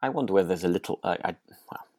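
Low-pitched man, tentative podcast chat. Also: rapid-fire, a little mellow, no room sound, studio quality. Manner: hesitant, tentative, thinking aloud. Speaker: podcast co-host. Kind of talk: relaxed chat discussing a radio drama.

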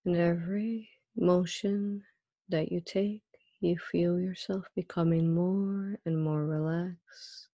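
A woman leading a guided meditation in a soft, breathy voice, soothing and hypnotic, with drawn-out, repeated phrases. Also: on the low side, steady tone, dry recording, lo-fi sound.

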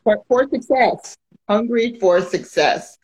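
A woman's voice: a little high, slow pace, a little mellow, rough recording. A woman hosting a casual interview on a phone, chatty and teasing, often laughing. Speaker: host.

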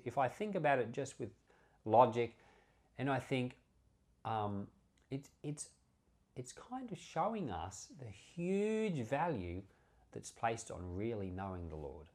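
A man's voice: medium pitch, sing-song, unhurried, darkish tone. A man giving informal Bible teaching as a pastor, speaking earnestly and conversationally with emphatic repetition. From Australia. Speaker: pastor, Bible podcast host.

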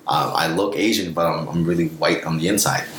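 A man's voice: extremely low pitch, fairly even intonation, fairly quick, fairly bright tone. A man in his late twenties with a western Canadian accent, casually telling personal stories as a podcast guest. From Vancouver, Canada. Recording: echoey room with faint background noise.

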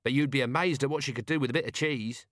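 A man's voice: mid-range voice, fast, fairly bright tone, no echo, voice pushed up, natural voice. Male narrator as scruffy sidekick, cheeky, chatty, matter-of-fact, comic storybook character, dry sound. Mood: chatty, proud.

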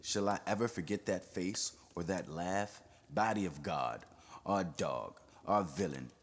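A man reading an audiobook, voicing a frantic, vengeful man who shouts his words out in a rush. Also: sits low, normal speed, a little hiss or hum, close to an everyday voice. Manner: shouting, words tumbling over each other.

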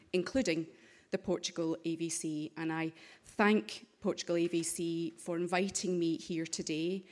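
Scottish woman giving a formal speech. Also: fairly low pitch. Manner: measured, with occasional ums and restarts. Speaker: conference speaker. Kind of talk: formal address to parliamentarians. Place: parliament session hall.

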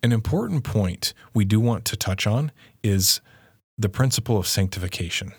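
A man's voice: lowish voice, animated, moderate pace, husky, dry recording, studio quality. A man co-presenting a religious lesson, explaining scripture in an earnest teaching manner.

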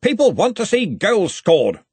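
Man acting a loud, blustering old wizard. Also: high-pitched, wildly swooping pitch, a little slow, husky, dry recording, voice pushed up, exaggerated voice. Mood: pompous, assured. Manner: blustering, bellowing, commanding. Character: loud pompous older male wizard, head of a college. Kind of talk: audiobook character acting.

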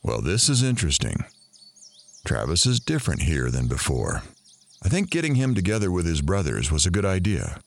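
Deep-voiced, musing storyteller host. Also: male voice, big pitch swings, normal speed, creaky, exaggerated voice. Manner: musing, addresses listeners directly. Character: reflective male narrator-host following the story. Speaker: host and narrator. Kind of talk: audio drama narration.

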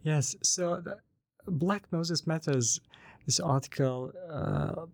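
A man's voice: on the high side, slow, gravelly, slight background noise. A man with a Bosnian accent, a native Bosnian speaker, answers as an interviewed author in a conversational way, hesitant and often repeating words as he thinks.

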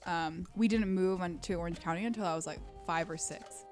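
A woman's voice: on the low side, brisk, darkish tone, faint music behind. A young woman whose first language was Korean, chatting casually as a podcast guest, filling her talk with "like" and laughing often. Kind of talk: casual conversation.

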